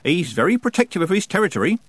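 A man's voice: sits high, very animated, fast, slightly rough, no echo, pitched above the performer's own voice, full character voice. A man voicing a cheeky, teasing old village witch woman in a storybook reading. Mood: chatty, frank.

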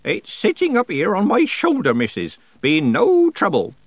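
A man's voice: sits high, wildly swooping pitch, normal speed, dry recording, voice pushed up, heavily acted. A man voicing a cheerful, stubborn old cottager in an audiobook, speaking in rustic dialect. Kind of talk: audiobook character dialogue.

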